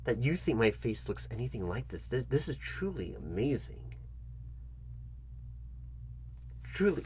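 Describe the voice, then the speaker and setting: A man playing a foolish, patronizing job interviewer in an ASMR comedy roleplay, speaking to the listener in a halting, repetitive, mock-earnest way. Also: medium pitch, a little mellow, a little hiss or hum. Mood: mock admiration. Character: foolish, condescending male job interviewer toying with the candidate.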